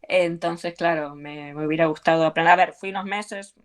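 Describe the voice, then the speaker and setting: Encouraging Argentine woman, lowish voice. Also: steady tone, moderate pace. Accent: Argentine Spanish. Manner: earnest, encouraging, with frequent fillers. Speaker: belly dance teacher, guest. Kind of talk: conversational interview.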